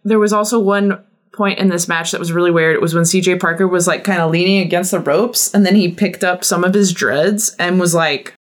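A woman's voice: lowish voice, steady tone, a little fast. Playfully emphatic woman guest chatting. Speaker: podcast guest. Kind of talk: casual chat.

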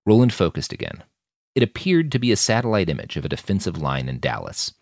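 A man with a low voice narrates scripted fiction for a podcast. His intonation rises and falls expressively, and the sound is dry and close. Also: very animated, fast, a little mellow, no room sound. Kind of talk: scripted dramatic audiobook narration.

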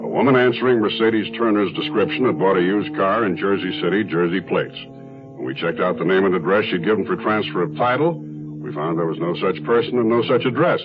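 An actor playing a methodical police detective in a 1970s radio drama, terse and matter-of-fact. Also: male voice, lowish voice, close to an everyday voice. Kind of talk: 1970s radio drama acting and first-person narration. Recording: dull 1970s radio recording, music behind.

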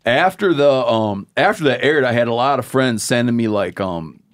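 A man's voice: mid-range voice, moderate pace. Chatty man hosting a podcast. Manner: relaxed, reads listener mail aloud with asides. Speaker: podcast host. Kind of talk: casual chat.

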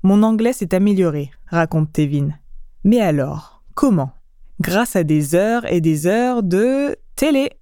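Mellow-voiced woman hosting a lesson. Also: fairly low pitch, animated, unhurried, clear, resonant tone, dry recording, studio quality. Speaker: language lesson host. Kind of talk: explanatory lesson narration.

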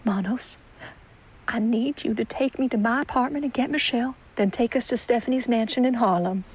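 A woman's voice: a little high, fairly quick, background noise, lightly acted. A woman playing a poised, guarded and defiant 1920s Harlem woman in an audio drama, polite but curt. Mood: shaken, composed. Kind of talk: period audio drama acting.

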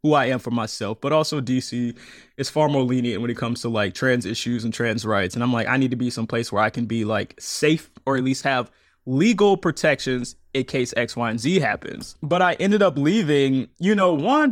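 A man in his thirties, a podcast guest, telling his story in a conversational interview with quick laughs. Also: medium pitch, lively intonation, no room sound, clean studio sound.

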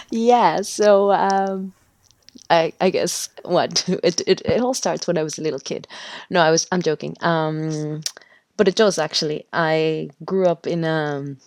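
Low-voiced woman. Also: no echo, studio quality. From Mexico. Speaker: podcast guest, natural textile dyer. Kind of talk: casual interview talk.

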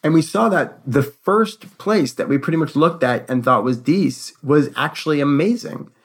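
A man's voice: fairly high pitch, moderate pace, breathy edge. A man hosting, telling a personal story in a casual conversational way and acting out quotes as he goes. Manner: animated retelling with acted-out quotes.

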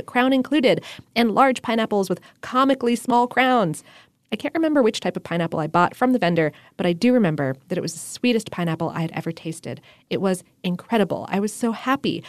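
Woman podcast co-host, wry and deadpan, very dry close studio sound. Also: fairly high pitch, dry recording. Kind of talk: casual chat and reading listener mail.

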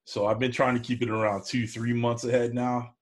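A man's voice: medium pitch, level tone, fairly quick. A man in a casual conversation, talking in a rambling, folksy and plain-spoken way as a show host. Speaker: co-host of an online cooking show, interview guest.

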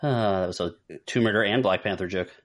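Male podcast host, deep voice, casual moderator who explains and recaps in a measured manner. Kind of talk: casual talk show moderating.

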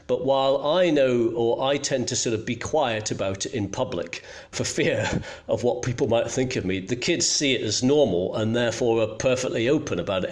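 A middle-aged man from Scotland, telling anecdotes conversationally as a guest, in a self-deprecating way. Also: medium pitch. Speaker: journalist guest.

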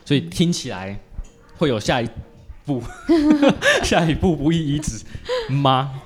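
A man's voice: medium pitch, huge pitch swings, unhurried, music in the background. A man with a Taiwanese Mandarin accent hosting a casual podcast interview in a playful, teasing way. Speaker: podcast host.

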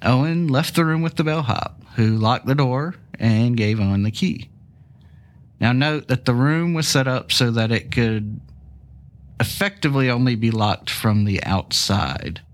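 A man's voice: medium pitch, measured pace. Stuffy-voiced man hosting a podcast. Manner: jokey, casual asides. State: stuffy from a cold. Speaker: podcast host. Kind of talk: true crime podcast narration.

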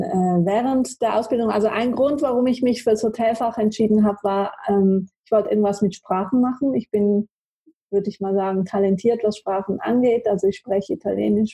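A woman from Switzerland, a native Swiss German speaker, speaking conversationally as an interview guest with a husky voice. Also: medium pitch, fairly even intonation, moderate pace, warm tone, rough recording. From Switzerland, grew up in Zurich. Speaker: podcast guest. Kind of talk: conversational life-story interview.

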